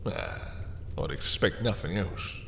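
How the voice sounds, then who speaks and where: Man playing a very low, creaky seductive vampire. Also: huge pitch swings, fairly bright tone, exaggerated voice. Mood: coaxing menace. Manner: slow, silky, commanding, condescending endearments. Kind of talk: intimate roleplay audio drama acting. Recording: long reverb, faint background noise.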